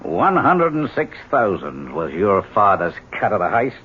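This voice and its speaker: Tinny old radio, a slangy insinuating insurance detective, talky and animated. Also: male voice, lowish voice, unhurried. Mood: insinuating, amused. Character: wry, talkative, tough insurance detective. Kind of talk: 1970s radio drama acting. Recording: tinny old radio broadcast, faint noise.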